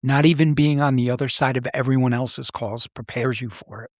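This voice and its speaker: A man's voice: medium pitch, fairly even intonation, brisk, darkish tone, dry recording, studio quality. A middle-aged man telling personal stories in a serious, plain-spoken, reflective way as a podcast host. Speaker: podcast host, former police officer. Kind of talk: reflective personal storytelling in conversation.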